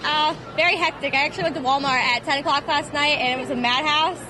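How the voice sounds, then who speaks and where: A young woman being interviewed in a busy indoor mall, talking in a chatty, rushed way with lots of fillers. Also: high voice, steady tone. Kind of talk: casual street interview. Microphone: handheld reporter mic. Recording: noisy crowded mall.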